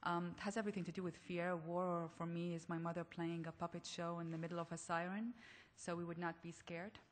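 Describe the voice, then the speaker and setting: A woman's voice: lowish voice, level tone, a little fast. A woman from Iraq speaking earnestly on a conference panel, asking rhetorical questions. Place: large conference hall. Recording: echoey conference hall.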